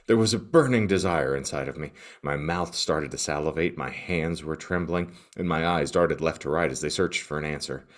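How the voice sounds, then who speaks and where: A man hosting a podcast, reading comic text aloud in a mock-serious, theatrical and deliberate deadpan. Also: extremely low pitch. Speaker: podcast host.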